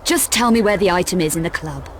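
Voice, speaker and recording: A cool adventurer in a game cutscene, voiced by a woman, dry and clipped with a wry edge. Her voice is brighter and higher than the man she trades lines with. Faint traffic hum sits behind her. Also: expressive, quick pace, natural voice. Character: cool, daring female adventurer on the run. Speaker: lead character. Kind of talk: video game cutscene acting.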